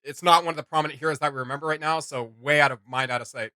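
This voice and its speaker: A man hosting a reaction video with casual, halting commentary, often searching for words and repeating himself. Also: on the high side, steady tone, bright, forceful tone, dry recording, studio quality.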